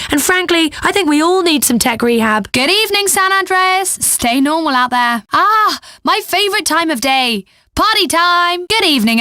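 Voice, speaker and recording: A woman's voice: high voice, pushed and bright, dry recording, studio quality. A woman playing a flippant, bubbly young British pop radio DJ in a video game, with breezy, sardonic patter. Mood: playfully preachy, then upbeat, teasing.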